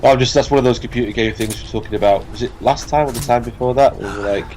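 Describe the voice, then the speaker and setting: A man's voice: medium pitch, quick pace, darkish tone, low-quality recording. A British man chatting casually as a let's play commentator, opinionated and sweary. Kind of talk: casual banter over gameplay. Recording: background game noise behind voice. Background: video game sound.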